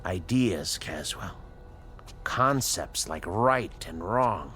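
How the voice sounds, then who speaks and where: An earnest, learned American doctor in a radio drama. Delivery is unhurried and articulate, with a very lively pitch that leans into each question. Music runs underneath. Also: male voice, heavily acted. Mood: grave, probing. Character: earnest scientist, educated, patient, morally probing male doctor.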